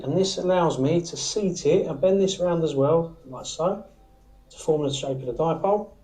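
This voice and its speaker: A man's voice: on the high side, warm tone, rough recording. A British man giving a casual hands-on workshop demonstration, informal and often checking in with the viewer as he explains each step. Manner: informal, often checks in with "all right?" and "okay?". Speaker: antenna maker demonstrating his workshop process. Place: small workshop, indoors.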